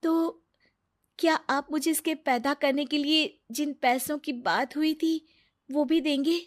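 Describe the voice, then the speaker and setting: Lady doing a worried young mom in a cartoon story, high voice, pleading but determined. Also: fairly even intonation, slow. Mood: hesitant. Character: distressed young mother, pleading yet quietly determined. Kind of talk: Hindi cartoon moral story dialogue.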